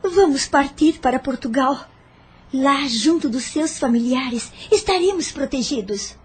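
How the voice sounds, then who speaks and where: A woman's voice: high voice, expressive, a little slow. A woman in an audio novel playing a scheming, coaxing mistress. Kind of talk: audio novel dramatic acting.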